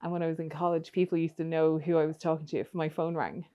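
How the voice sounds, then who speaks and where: A woman's voice: low voice, flat delivery, fast talker, clear, resonant tone, highs cut off. A young woman hosting a podcast on a studio mic, chatty, asking long rambling questions full of fillers. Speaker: podcast host. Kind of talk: casual interview chat.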